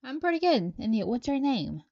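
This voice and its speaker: A woman from Brazil with a Brazilian Portuguese accent, speaking English as a second language as she reads tarot on a live stream. She reads viewers' questions aloud and answers them in an unhurried, halting way. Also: high voice, big pitch swings, warm tone, dry recording, muffled recording.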